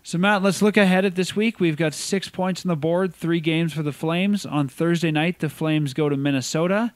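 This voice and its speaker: A man's voice: fairly high pitch, moderate pace, dry recording. A man hosting a casual sports podcast, chatting in an upbeat way about hockey. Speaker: podcast host.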